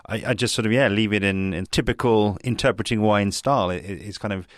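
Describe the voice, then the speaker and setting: A man's voice: on the low side, a little fast, dry recording. A man hosting an interview, putting questions casually in hesitant, meandering phrases. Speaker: podcast host, interviewer.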